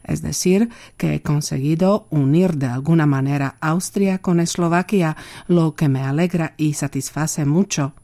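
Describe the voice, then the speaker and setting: A woman reading a first-person voice-over translation of an interview answer in a calm, even, explanatory way. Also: low voice, unhurried.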